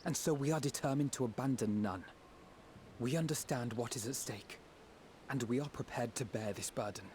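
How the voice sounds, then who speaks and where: A man's voice: mid-range voice, moderate pace, a little mellow, slight background noise, lightly acted. A man playing a resolute, earnest hero in a game, speaking firmly in formal dramatic dialogue. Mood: determined. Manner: firm, earnest.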